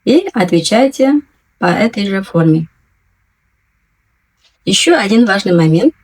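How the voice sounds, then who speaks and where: A woman teaching a language lesson, patiently and clearly enunciating, repeating each new word twice. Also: medium pitch, expressive, a little slow, darkish tone, low-quality recording. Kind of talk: slow, careful language lesson.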